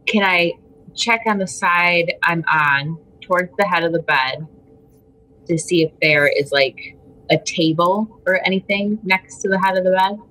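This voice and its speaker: A woman's voice: fairly low pitch, measured pace, sharp tone, poor audio. A woman chatting casually as a player in an interactive fiction game, playful and a little bossy. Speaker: podcast guest playing a text adventure.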